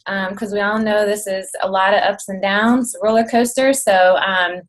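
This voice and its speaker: A woman in her late thirties, losing her voice, speaking as a chatty, excitable guest on a team video call. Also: mid-range voice, normal speed. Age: 30s. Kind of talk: casual talk on a video call.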